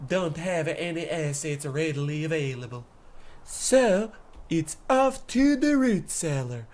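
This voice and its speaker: A man's voice: a little high, darkish tone. A man playing a self-admiring, callous medieval king in a radio comedy, declaiming in a pompous, theatrical way. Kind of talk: parody of old radio drama.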